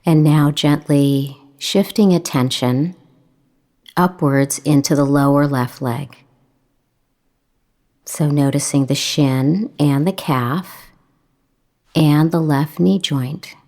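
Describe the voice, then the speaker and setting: Slow, low-voiced woman guiding meditation. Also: fairly even intonation. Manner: measured, gentle, with long pauses. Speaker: meditation instructor. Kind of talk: guided meditation, calm instruction.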